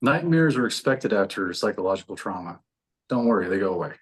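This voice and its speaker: A man's voice: fairly low pitch, big pitch swings, a little fast, slightly rough. A man playing a young, wry, reassuring doctor in a horror script table read. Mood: reassuring, breezy.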